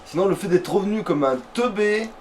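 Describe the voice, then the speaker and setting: A man's voice: high-pitched, brisk. A man chatting casually and slangily as he plays a video game, swearing freely. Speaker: let's play gamer. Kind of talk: casual gameplay chat. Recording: noisy, distant mic, game ambience.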